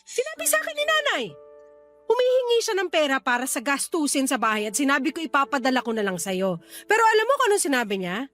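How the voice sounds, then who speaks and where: An angry Filipino older sister in a radio drama. Her voice sits extremely high as she interrogates and scolds like the family breadwinner. Soft music plays underneath. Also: huge pitch swings, fast, exaggerated voice. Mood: angry, accusing. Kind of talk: Tagalog radio drama acting. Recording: faint music behind the voice.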